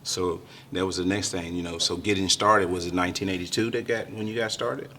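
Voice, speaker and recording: A man hosting a cooking talk show, chatting casually and exuberantly with his guests, laughing often and bursting into loud hype. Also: low-pitched, steady tone, fairly quick, slight background noise. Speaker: show host. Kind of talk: casual chat.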